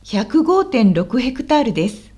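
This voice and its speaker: A woman playing a friendly, helpful local guide in a scripted language-lesson radio drama, explaining politely and clearly. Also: a little high, sing-song, unhurried, warm tone, full character voice. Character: friendly, helpful woman guiding a visitor around Osaka.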